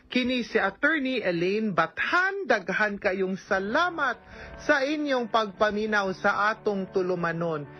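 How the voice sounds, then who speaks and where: A woman's voice: medium pitch, big pitch swings, a little slow, faint music behind. A woman with a Philippine Bisaya accent hosting a radio advice program, explaining legal matters conversationally and often checking that she is followed. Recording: dull, lo-fi radio recording.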